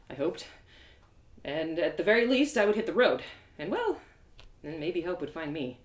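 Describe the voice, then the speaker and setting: A woman reading a story live in an expressive, theatrical way, as host and narrator. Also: deep voice. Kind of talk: animated first-person storybook narration. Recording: echoey room.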